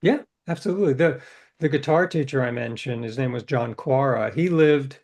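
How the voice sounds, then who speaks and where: A man's voice: mid-range voice, moderate pace, darkish tone. Middle-aged writer guy telling old stories on a podcast, thoughtful, trails off and restarts. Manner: reflective, anecdotal, hesitant restarts. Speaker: guest author. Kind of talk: interview storytelling.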